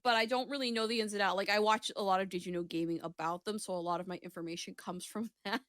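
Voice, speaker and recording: A woman's voice: medium pitch, steady tone, fast talker, a bit bright, dry recording, clean studio sound. A woman co-hosting a casual gaming chat show, talking in a rambling, sweary way and often interrupting herself.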